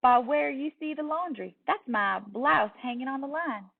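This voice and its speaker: A woman's voice: high-pitched, moderate pace, gravelly, voice pushed up. A woman reading a storybook as a bold, friendly young girl, lilting and folksy, with a Southern US accent. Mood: friendly, chatty. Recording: distant, tinny phone-like sound.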